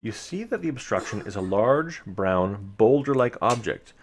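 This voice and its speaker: Low, gravelly man reading an adventure aloud. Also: animated, moderate pace. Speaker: live-streamer reading a gamebook aloud. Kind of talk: live gamebook read-aloud with casual asides to viewers.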